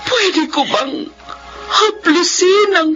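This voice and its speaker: A woman's voice: extremely high pitch, takes it slowly, a bit bright, highs cut off, faint music behind, full character voice. An actress playing a grieving elderly mother in a radio drama, weeping as she speaks. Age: older. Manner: weeping, emotional. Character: grieving elderly mother sweeping her yard.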